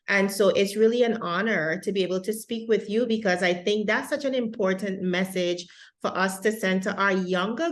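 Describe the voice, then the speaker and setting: A woman hosting an interview, speaking with effusive praise and rambling restarts. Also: mid-range voice. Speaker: host.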